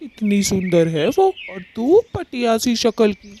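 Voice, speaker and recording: A woman's voice: medium pitch, sing-song, takes it slowly, warm tone, slight background noise. A woman voicing a vain, lecherous male bird in a Hindi cartoon, swaggering and taunting as he talks. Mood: mocking. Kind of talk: Hindi cartoon moral story dialogue.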